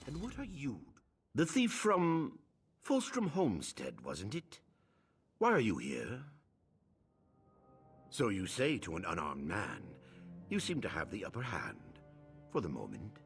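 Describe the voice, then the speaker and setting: A haughty male inspector from a video game, formal and clipped with stern authority. His pitch swings very widely over faint music. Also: huge pitch swings, a little slow, gravelly, pauses often, exaggerated voice. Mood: wary, scornful. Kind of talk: scripted video game dialogue.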